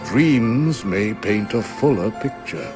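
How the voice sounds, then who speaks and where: A wise old game sorcerer with a low voice. He speaks slowly and solemnly in formal phrases, his pitch rising and falling expressively, with music behind him. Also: expressive. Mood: solemn, instructive. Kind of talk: solemn expository game voice-over.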